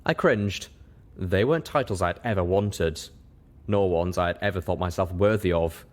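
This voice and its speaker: A man's voice: sits low, normal speed. A man reading scripted fiction aloud as an audiobook narrator. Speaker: audiobook reader.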